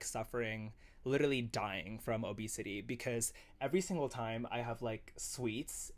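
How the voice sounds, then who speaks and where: A man's voice: mid-range voice, a bit bright. Chatty young-sounding man from Indonesia. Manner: chatty, heavy use of "like". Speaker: social media creator. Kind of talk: casual talking-to-camera clips.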